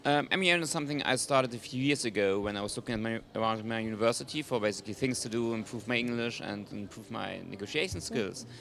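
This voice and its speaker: A young man from Germany, a guest speaking in a measured, thoughtful way. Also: mid-range voice, creaky, fairly bright tone. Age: young adult.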